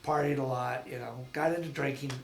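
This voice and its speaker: Gravelly guy in his 60s, lots of ums and restarts, roomy echo. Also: medium pitch, fairly even intonation, faint music behind. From Massachusetts. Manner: rambling, halting with many ums and restarts. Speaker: veteran interviewee. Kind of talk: oral history interview. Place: his home.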